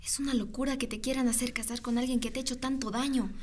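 A loyal, insistent teenage girl played by a woman in a radio drama. She speaks firmly and encouragingly in a fairly high voice. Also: steady tone, quick pace, darkish tone, natural voice. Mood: firm, supportive. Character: loyal, firm, urging teenage girl best friend.

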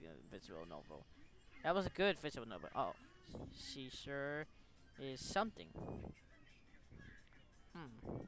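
A man hosting a gaming video, reading game text aloud and commenting in short, halting reactions. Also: medium pitch, background noise.

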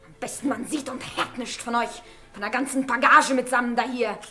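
A woman's voice: fairly high pitch, steady tone, a little fast, bright, forceful tone. A woman playing a bossy, thrifty middle-aged carter's wife in a German radio drama, with earthy, scolding talk. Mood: indignant, scolding. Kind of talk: mid-century German radio drama acting. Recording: 1960s radio play with music behind.

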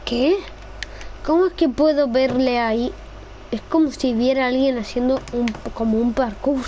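Young woman from gaming, high excitable voice, bit of background noise. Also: normal speed. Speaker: gamer. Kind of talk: casual gameplay role-play chat.

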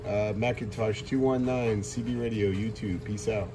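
A man's voice: lowish voice, fairly even intonation, moderate pace, loud music behind the voice. A man working as a CB radio operator, chatting casually in short radio calls. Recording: clear noise, clicks, beside transceiver.

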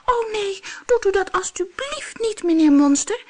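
A squeaky tiny goat. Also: expressive, measured pace. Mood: pleading. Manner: squeaking, begging politely. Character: tiny timid male goat, squeaky and pleading.

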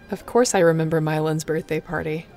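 A woman's voice: low-pitched, darkish tone. A woman playing a snarky, affectionate but guarded space captain in an intimate sci-fi audio roleplay, talking in a casual, teasing way. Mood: amused, nostalgic.

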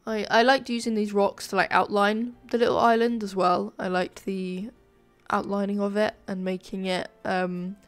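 A woman giving casual, informal commentary over a game building video, sounding nasal and a bit flat from a sore throat. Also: mid-range voice, moderate pace, faint music behind. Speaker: gaming video creator, narrator.

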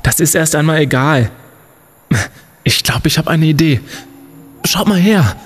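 An actor playing a clever, confident young student sleuth in a comic radio play, explaining things in a deliberate, declamatory way. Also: male voice, fairly high pitch, lively intonation, husky, soft background music. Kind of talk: German comedic audio drama acting.

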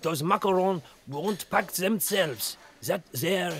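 A man's voice: high-pitched, animated, takes it slowly, gravelly, a bit bright, breathy edge, heavily acted. A man voicing a bossy, frantic head chef barking orders in a children's audio story.

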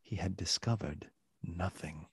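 A man narrating a literary radio play in a solemn, measured, dramatic way, with a husky voice. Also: low-pitched, no room sound. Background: music.

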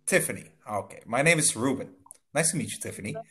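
A young man tutoring English over a video call on a headset in a small room, friendly and slow, laughing often. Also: mid-range voice, expressive, pushed and bright. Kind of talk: casual video-call lesson.

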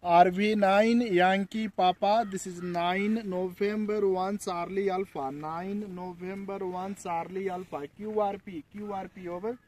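A middle-aged man with a Nepali accent making a ham radio contact outdoors, deliberately repeating phrases for clarity. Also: sits high, fairly even intonation, darkish tone, slight background noise. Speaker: amateur radio operator. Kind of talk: ham radio contact, call signs and signal reports. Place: outdoors on a dry hillside. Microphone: filmed by a phone a few steps away, holding a radio hand mic.

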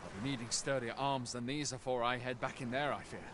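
A man's voice: mid-range voice, fairly even intonation, fairly quick, background noise, natural voice. A man playing a cautious, dutiful soldier. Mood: puzzled. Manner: archaic, earnest reporting.

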